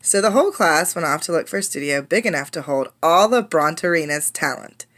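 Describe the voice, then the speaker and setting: A woman teacher reading a storybook aloud to children, expressive and instructive, often putting questions to the listeners. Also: low-pitched, brisk.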